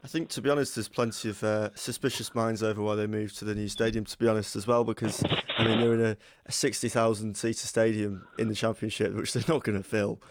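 A man in a casual radio discussion, speaking in a measured way and hedging his points. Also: on the low side, little pitch movement. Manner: measured, hedges with "to be honest". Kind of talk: casual chat.

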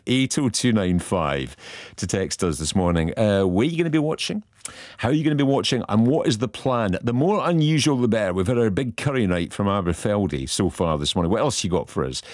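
Lively male radio host. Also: fairly low pitch, no echo, clean studio sound. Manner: chatty, teasing, laughs easily. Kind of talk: casual radio chat.

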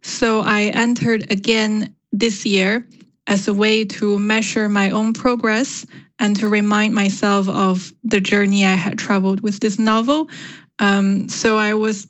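Young woman, Chinese first language. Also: medium pitch. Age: young adult. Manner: thoughtful, with many fillers. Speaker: novelist guest. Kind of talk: radio interview.

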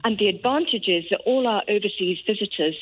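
A woman's voice: mid-range voice, quick pace, breathy edge, phone-quality sound. An older woman born in Kenya with an English accent, telling a chatty story on a radio phone-in. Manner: animated, chatty storyteller with laughs. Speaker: radio caller, farm business partner. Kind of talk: phone-in chat.

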